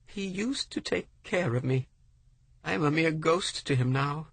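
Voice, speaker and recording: A man's voice: fairly high pitch, unhurried, gravelly, a bit bright, no echo, voice pushed up, heavily acted. A man playing a tearful, pleading wife. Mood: distraught. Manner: pleading, sorrowful. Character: distraught, tearful wife, middle-aged woman.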